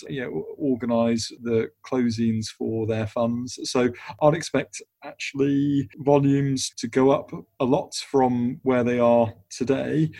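A man giving measured, conversational answers as an expert interview guest. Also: mid-range voice, dark tone, no echo. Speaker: interview guest, investment funds lawyer.

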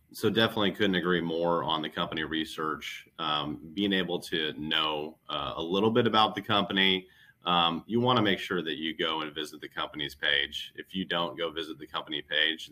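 A man speaking as a guest recruiter, giving conversational career advice in a halting way, often rephrasing himself. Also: a bass voice, bright, forceful tone.

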